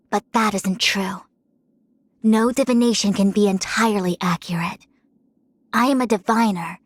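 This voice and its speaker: A woman's voice: medium pitch, breathy edge, no room sound. A woman playing a proud young female diviner in a video game, speaking in composed, formal, lofty phrases. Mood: self-assured. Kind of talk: scripted game character voice lines. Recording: studio-dry voice over music. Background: music.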